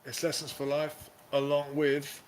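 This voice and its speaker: A man's voice: on the high side, completely flat delivery, measured pace. A man hosting a casual live stream over a video call, with jokey, wry asides. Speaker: host.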